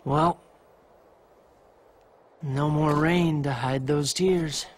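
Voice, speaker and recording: A man's voice: on the high side, steady tone, drawn-out words, slight background noise. A man voicing a wry, sardonic hired hunter in a video game, with a dry, teasing manner. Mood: matter-of-fact.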